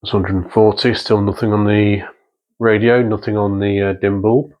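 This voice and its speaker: A man's voice: lowish voice, level tone, moderate pace, dull audio. A man giving unscripted hands-on workshop commentary in a matter-of-fact, methodical way, with hesitant phrasing. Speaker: presenter of a vintage radio restoration channel. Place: indoor workbench in a small workshop.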